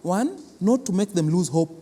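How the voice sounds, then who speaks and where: A man with a Kenyan accent explains things as an interviewed official, speaking haltingly and repeating his words. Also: high-pitched, expressive, dark tone. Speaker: cultural institution official being interviewed. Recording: reverberant hall with clear background noise.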